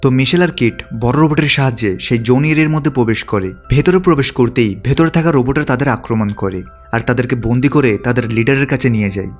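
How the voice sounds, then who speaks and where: A man's voice: mid-range voice, fast. A man reading scripted narration that retells a film's story as a recap narrator. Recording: background music under the voice. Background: music.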